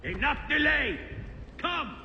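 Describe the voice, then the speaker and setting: A man's voice: very high-pitched, sing-song, takes it slowly, dull audio, a little hiss or hum, exaggerated voice. A man playing a cruel, impatient cult leader in a game, imperious and menacing in theatrical delivery. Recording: reverberant chamber with loud music.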